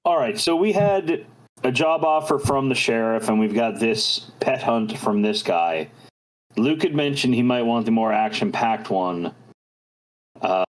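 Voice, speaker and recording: Guy at a tabletop game, average pitch, casual player chat. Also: lively intonation, measured pace, rough recording.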